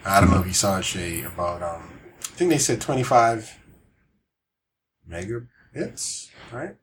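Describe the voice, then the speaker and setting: Male co-host for a casual gaming podcast. Slightly deep, expressive, informal delivery, light background ambience. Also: measured pace, slight background noise. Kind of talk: casual chat about video game news.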